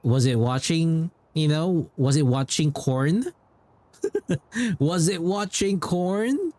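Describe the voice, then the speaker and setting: A man's voice: a little high, expressive, slow pace, a little mellow, no room sound. A man livestreaming a game, chatting casually and rambling as he repeats himself.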